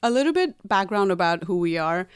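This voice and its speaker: A woman in her thirties from Nepal co-hosts a relaxed podcast in a slightly low, smooth, clear voice. She talks in a chatty way, laughs easily and restarts her sentences as she goes. The sound is dry and close. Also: brisk, dry recording. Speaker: podcast co-host. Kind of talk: casual conversational podcast chat.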